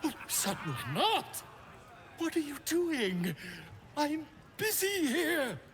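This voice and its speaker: High-pitched flustered, stammering bard. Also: male voice, very animated, noisy background, heavily acted. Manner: halting, stammering, throat-clearing. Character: flustered stammering bard reciting a fawning ballad. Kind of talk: fantasy game character acting.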